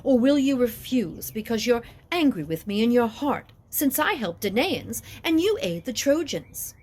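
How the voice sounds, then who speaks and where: A woman's voice: a little high, big pitch swings, a little slow, darkish tone, voice pushed up. A woman voicing a scheming queen goddess in an epic tale, coaxing in a stately, formal way. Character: scheming queen goddess, sweetly persuasive and devious. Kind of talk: dramatic epic storytelling.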